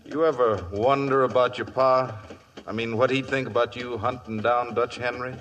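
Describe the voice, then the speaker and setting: An earnest American trail partner played by a man in an old radio western. He speaks plainly and probingly at a middling pitch, with slight noise on the recording. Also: fairly even intonation, moderate pace, fairly bright tone, lo-fi sound, close to an everyday voice. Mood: concerned. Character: earnest cowboy sidekick questioning his friend's vengeance. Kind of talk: western radio drama acting.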